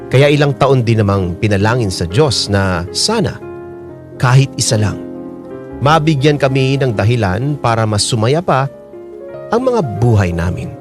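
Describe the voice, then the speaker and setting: An earnest Filipino husband tells his story as radio drama narration. His mid-pitched voice moves expressively and sentimentally over clear background music. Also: sing-song, measured pace, pauses often. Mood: earnest, reflective. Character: earnest married man recounting his life story. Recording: music bed under the voice.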